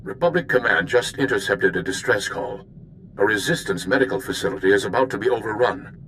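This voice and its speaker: An actor voicing an earnest, calm male diplomat in a video game, briefing in a measured way. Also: fairly low pitch, a little hiss or hum. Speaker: mission contact. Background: music.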